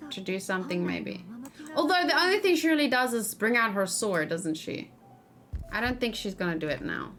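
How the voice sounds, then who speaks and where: A woman's voice: a little high, fairly bright tone. A woman giving casual let's play commentary, thinking aloud and chatting to her viewers over game music. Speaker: livestreamer playing a video game. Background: game music, typing.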